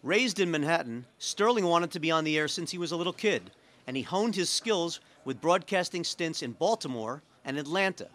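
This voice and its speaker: Guy reporter doing scripted news voiceover, pitch a bit on the high side. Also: animated, moderate pace, fairly bright tone.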